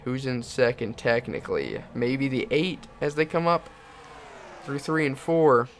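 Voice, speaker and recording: A young man giving excited play-by-play commentary on a video game car race and hosting his channel, getting hoarse as he goes. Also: mid-range voice, a little slow, a little hiss or hum. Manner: builds to shouting excitement at the finish.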